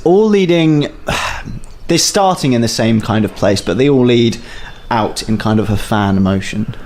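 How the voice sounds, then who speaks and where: A man's voice: mid-range voice, unhurried, a little mellow. A man narrates a tabletop game as its dungeon master, matter-of-fact and wry. There is a faint hiss under his voice.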